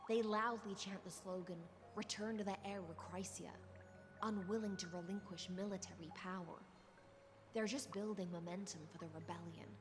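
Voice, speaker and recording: An actress voicing a languid, menacing sea-born noblewoman in a video game, soft and unhurried, teasing and coolly philosophical. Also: mid-range voice, fairly even intonation, over music, natural voice. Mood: cold. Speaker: game character.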